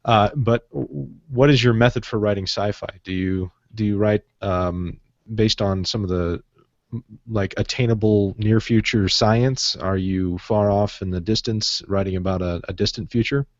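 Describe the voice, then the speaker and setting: A man co-hosts a podcast and asks his guest questions over an online call. He talks in a chatty, halting way, restarting his sentences as he goes. His voice is dry and close, and the audio is dull and muffled. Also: lowish voice, no room sound. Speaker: podcast co-host. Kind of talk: casual interview questions. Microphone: video call.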